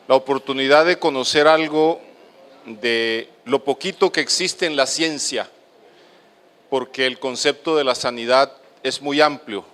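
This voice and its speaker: A middle-aged man from the Colombian Caribbean coast, with a coastal accent mixed with Medellín's, giving a halting lecture to an auditorium with many fillers. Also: mid-range voice, a bit bright. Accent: Colombian, coastal mixed with Medellín paisa. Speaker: speaker at a lecture. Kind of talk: lecture to an audience.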